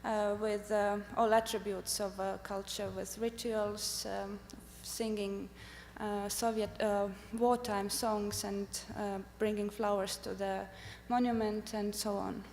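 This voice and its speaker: A woman from Estonia, a native Estonian speaker, giving a conference presentation about her art research, speaking carefully with many pauses and "uh" fillers. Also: medium pitch, flat delivery, a little mellow. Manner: careful, halting delivery with frequent "uh" pauses. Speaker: artist presenting at a summit. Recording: echoey hall with faint hum.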